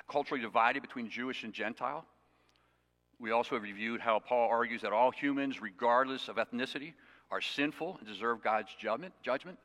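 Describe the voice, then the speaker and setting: A man's voice: mid-range voice, bright, forceful tone. Man preaching in a reverberant church hall. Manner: conversational, self-deprecating asides. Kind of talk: expository sermon.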